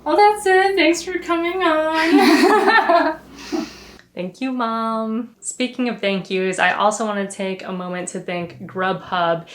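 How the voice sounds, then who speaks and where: A woman's voice: fairly high pitch, takes it slowly, a bit bright, a little hiss or hum. Chatty young woman vlogger. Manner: chatty, many fillers like "you know" and "uh". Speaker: filmmaker, vlog host. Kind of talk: casual vlog talk with filmmaking tips.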